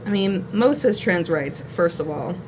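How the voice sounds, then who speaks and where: A woman's voice: lowish voice, expressive, a little slow, background noise. A woman from New York, a voice actress, chatting in a friendly, upbeat way during a signing stream. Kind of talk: casual chat.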